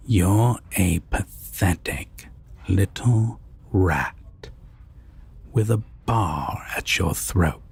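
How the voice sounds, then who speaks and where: A man playing a sadistic, possessive vampire villain in a dark audio roleplay, husky and often near a whisper, deliberate and condescending. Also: low-pitched, exaggerated voice. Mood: mocking, contemptuous. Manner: menacing, slow, deliberate, condescending.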